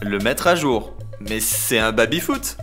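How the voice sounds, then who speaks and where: A man's voice: fairly low pitch, lively intonation, unhurried, a bit bright, soft background music. A man playing a chatty, slightly grumbling start-up employee in a comic audio drama. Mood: sceptical, grumbling.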